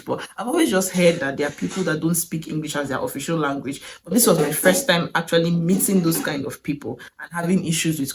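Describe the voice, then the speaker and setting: A young Nigerian woman with a Nigerian accent, chatting casually in a travel vlog and wondering aloud, with short laughs. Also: sits low. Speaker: vlogger.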